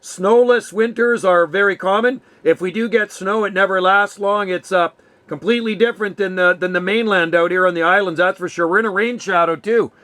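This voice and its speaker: A man's voice: sits high, brisk, bright, forceful tone, dry recording. A man of sixty-one chatting casually over two-way radio in a friendly, slangy way. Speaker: amateur radio operator. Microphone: desk radio microphone, close.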